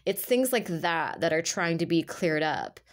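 A woman's voice: fairly low pitch, lively intonation, unhurried. Nasal, stuffy-voiced woman reading tarot. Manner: rambling, earnest, full of "like" and restarts. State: stuffy, nasal from a sinus infection. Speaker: tarot reader. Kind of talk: casual spoken tarot reading. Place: indoors at a table with cards on purple cloth.

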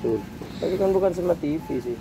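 A woman talking casually off-camera while shopping, brisk and questioning, picked up from a distance by a handheld camera in a big supermarket. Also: lowish voice, lively intonation, crowd noise. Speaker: shopper accompanying the vlogger. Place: large indoor supermarket. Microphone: handheld camera held by someone else, off-camera. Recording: distant voice over store noise.

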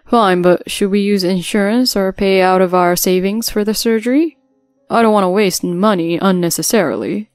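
A woman's voice: mid-range voice, steady tone, normal speed, no echo, clean studio sound, soft background music, natural voice. A woman voicing a callous, selfish young husband who talks down to his wife in a dismissive, demanding way.

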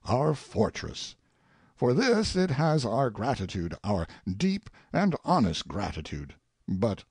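Man acting haughty monarch, sardonic, theatrical, slow deliberate delivery, widely swinging intonation, audiobook monologue. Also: medium pitch, darkish tone, breathy edge, no echo, pitched above the performer's own voice, exaggerated voice. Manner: pompous, theatrical, sardonic musing. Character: haughty, self-pitying old king musing aloud, sardonic tyrant.

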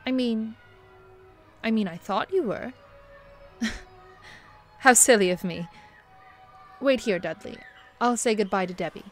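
An actress playing a kindly, refined bishop's wife, polite and hesitant, in a radio-style drama. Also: female voice, a little high, measured pace, pauses often, faint music behind, lightly acted. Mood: puzzled.

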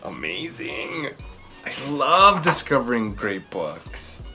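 A man in his thirties hosting a children's read-aloud, telling stories and chatting in a theatrical, emphatic way with drawn-out words. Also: medium pitch, sing-song, over music. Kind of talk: expressive storytelling and chatty commentary for children. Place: small furnished room indoors.

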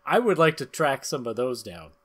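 A man's voice: mid-range voice, very animated, moderate pace. A man in his thirties or forties hosting a comedy podcast, bantering and reading narration in mock-dramatic style. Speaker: podcast host. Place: small room at home, video call.

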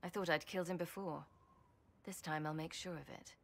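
An actress playing a battle-worn, wry champion and rebel mage in a video game, speaking in an earnest, measured way. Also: female voice, low voice, fairly even intonation, gravelly. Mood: grave, resolved. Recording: loud ambient noise behind.

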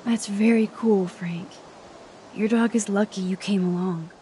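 An actress playing an earnest, conciliatory young woman in a video game, speaking in a gentle, pleading, diplomatic manner. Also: mid-range voice, fairly even intonation, a little slow, slight background noise, close to an everyday voice. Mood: calm, placating. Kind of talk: dramatic video game voice acting.

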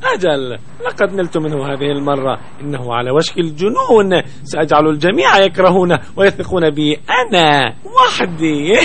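Sly high-pitched cartoon snake. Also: male voice, wildly swooping pitch, a little fast, fairly bright tone, slight background noise, full character voice. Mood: sly, gloating. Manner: theatrical, sly, gloating. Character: scheming male cartoon snake, sly, boastful trickster. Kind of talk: dubbed children's cartoon acting.

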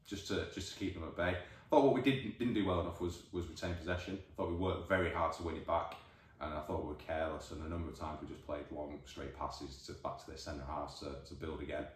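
A man in his forties with a Northern English accent, a football manager giving a measured, thoughtful post-match interview, recorded by a distant camera in an empty changing room. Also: very deep voice, fairly even intonation, a little hiss or hum. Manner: measured, thoughtful, many restarts. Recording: echoey room, voice from far off.

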